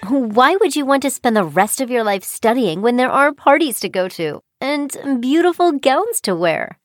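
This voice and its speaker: A woman's voice: sits high, animated, normal speed, no echo, clean studio sound. A woman voicing a mocking, frivolous schoolgirl in a children's story. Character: mocking, giggly village schoolgirls who love parties.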